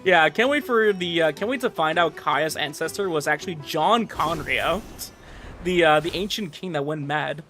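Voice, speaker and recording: A man's voice: on the high side, moderate pace, sharp tone. A man livestreamer chatting casually with viewers in a rambling, conversational way. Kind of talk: casual chat with stream viewers. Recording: music playing behind the voice. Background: background music.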